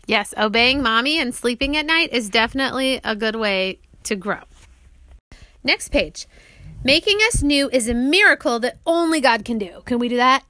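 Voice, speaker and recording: A woman with a high, bright voice reads a children's faith book aloud. She is teacherly and keeps turning to young listeners with simple questions. The sound is close and dry. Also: expressive, moderate pace, no echo. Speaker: author reading her audiobook aloud to her children.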